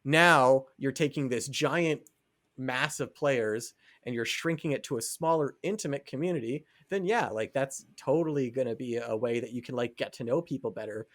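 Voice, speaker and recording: A man's voice: medium pitch, moderate pace, a bit bright, dry recording, clean studio sound. A man co-hosting a podcast in casual chat, talking in a rambling way peppered with "like". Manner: rambling, filler-heavy conversational delivery with frequent "like". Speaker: podcast co-host.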